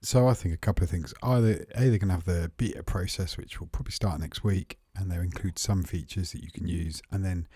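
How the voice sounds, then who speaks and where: A deep-voiced man co-hosts a tech podcast in a relaxed, thoughtful way, pausing with frequent ums. His voice is mellow and slightly husky, and it sits dry and close to the mic. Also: no echo. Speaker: podcast co-host. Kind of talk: casual tech chat.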